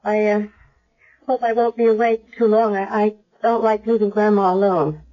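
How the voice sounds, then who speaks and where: A woman's voice: medium pitch, steady tone, moderate pace, close to an everyday voice. An actress playing a hesitant young amnesiac woman. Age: young adult. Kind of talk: 1970s radio drama acting. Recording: dull lo-fi vintage radio recording.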